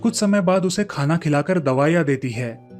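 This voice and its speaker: Give the voice of a man telling a children's story from a script. His pitch sits a little high for a man. Light music plays softly behind him. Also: expressive, moderate pace. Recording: faint music under the voice. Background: soft background music.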